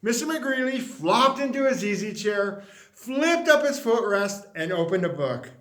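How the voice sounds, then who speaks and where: Man, children's story read-aloud, high bright voice, unhurried, expressive. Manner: expressive, drops to a hush for refrains.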